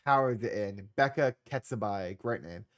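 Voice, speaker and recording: A man's voice: on the low side, normal speed, fairly bright tone. Congested man in casual game commentary. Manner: laid-back, trailing off, muttering asides. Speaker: game streamer.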